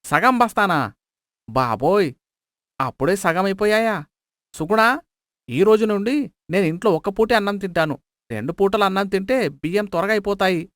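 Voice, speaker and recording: A man's voice: high-pitched, expressive, moderate pace, pauses often, a bit bright, no echo, clean studio sound. A man playing a sly, miserly cloth merchant in a moral story, wheedling, exclaiming and justifying himself. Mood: indignant, scheming.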